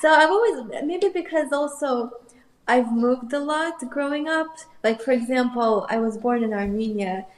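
A woman's voice: sits high, normal speed. A woman from Russia, an artist guest, musing thoughtfully and hesitantly in a casual interview. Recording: roomy echoey sound.

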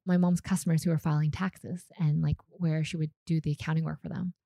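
Woman, 30s, from Canada, Vietnamese first language; deep, warm, slightly gravelly, dry close sound, casual storyteller. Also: brisk, no room sound. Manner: relaxed, chatty, frequent fillers and small laughs. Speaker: guest.

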